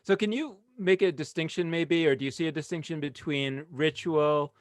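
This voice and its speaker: Male podcast host, fairly high voice, winding questions, dry close sound. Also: moderate pace, dry recording. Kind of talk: conversational interview.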